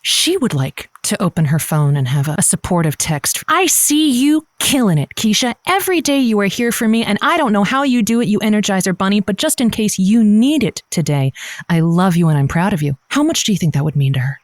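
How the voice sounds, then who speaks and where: Husky woman host, theatrical and gushing, very lively intonation, close dry sound. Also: mid-range voice, a little fast, dry recording. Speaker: radio advice show host. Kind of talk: improvised comedy call-in show.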